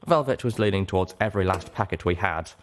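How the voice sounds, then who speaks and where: A man reading fiction aloud as a dramatic first-person narrator, measured and expressive. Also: sits low, a little hiss or hum. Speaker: audiobook narrator.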